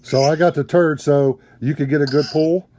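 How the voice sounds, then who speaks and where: A man chatting casually on a gaming stream, in a low-key, somewhat hesitant way. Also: fairly even intonation, moderate pace, dark tone, a little hiss or hum.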